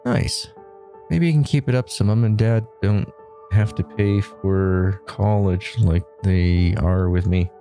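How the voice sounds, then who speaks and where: A man's voice: sits low, slow pace, warm tone, faint music behind. A man playing an offhand, self-assured older brother in an audio drama. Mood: aloof. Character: Jackson, aloof older brother leaving for college.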